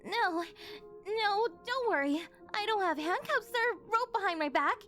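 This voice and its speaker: High-pitched, gushing obsessive yandere girlfriend played by a woman. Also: animated, measured pace, fairly bright tone, soft background music, heavily acted. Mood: eager, sweet. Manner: gushing, cooing, over-eager, flustered denials. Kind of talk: ASMR roleplay acting addressed to the listener.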